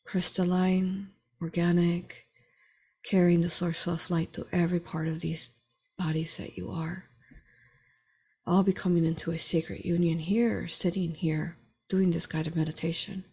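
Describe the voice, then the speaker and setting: A woman leading a slow guided meditation in a soft, breathy voice that often drops to a whisper, with long pauses. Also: fairly low pitch, flat delivery, poor audio. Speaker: guided meditation leader and channeler.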